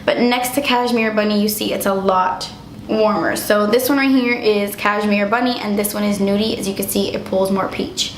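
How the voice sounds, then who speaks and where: A woman's voice: medium pitch, steady tone, normal speed, roomy sound, slight background noise, low-quality recording. A young woman reviewing makeup in a chatty, gushing way, losing her voice as she gets sick. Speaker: beauty vlogger. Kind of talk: casual product review.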